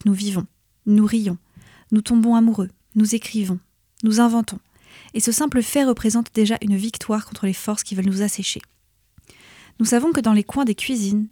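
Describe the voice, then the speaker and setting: A woman in her thirties reading a poetic essay in a measured, lyrical cadence, often letting phrase ends fall soft and hushed. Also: mid-range voice, no room sound, clean studio sound. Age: 30s. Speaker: essay reader. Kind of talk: scripted poetic narration.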